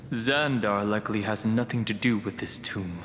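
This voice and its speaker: Low, measured, analytical young hero. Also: male voice, fairly bright tone. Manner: measured, deliberate, serious. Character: calm analytical young man, guarded and protective guide. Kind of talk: English-dubbed anime video game acting. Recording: long hall reverb under clear music.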